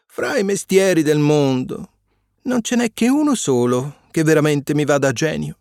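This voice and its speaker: A man voicing a cheeky, defiant wooden puppet boy in a storybook reading, loud and pushy. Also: moderate pace, no echo, studio quality, natural voice. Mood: boastful. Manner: loud, pushy, high-pitched.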